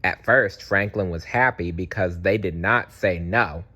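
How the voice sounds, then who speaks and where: A man narrating a children's storybook aloud in an expressive, deliberate way. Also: low-pitched.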